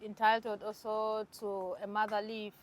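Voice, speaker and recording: Woman, steady and agreeable. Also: mid-range voice, normal speed, slight background noise. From northern Uganda. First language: Alur. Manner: affirming, talks steadily, often agrees with yeah. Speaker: guest, mother and childcare worker. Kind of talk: casual conversational interview.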